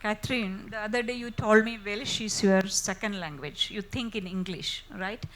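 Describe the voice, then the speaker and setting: A woman moderating a literature festival panel, asking quick, informal questions with frequent restarts. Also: medium pitch, fairly bright tone, slight background noise. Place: festival stage.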